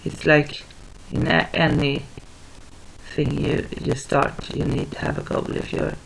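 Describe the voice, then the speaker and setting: A young woman, speaking in English, talks to a webcam at home. Her delivery is slow and flat, and her voice has a gravelly edge. She pauses often, restarts her sentences and fills the gaps with ums. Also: deep voice, a little hiss or hum, rough recording. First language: Swedish. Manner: hesitant, halting, many fillers and restarts. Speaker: vlogger. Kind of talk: casual talk to camera. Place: small living room at home, indoors. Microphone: webcam at desk distance.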